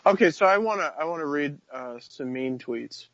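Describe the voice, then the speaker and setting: A man's voice: on the high side, lively intonation, normal speed, a bit bright, no echo. Laid-back man in his thirties, dry lo-fi sound. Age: 30s. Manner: laid-back, slangy, laughs easily. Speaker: radio show co-host. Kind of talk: casual talk radio chat.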